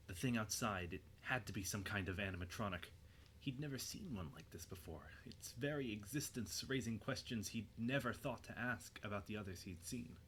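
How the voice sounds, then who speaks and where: A man narrating a scripted dramatic story in a measured, suspenseful way. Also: on the low side, a bit bright, slight background noise.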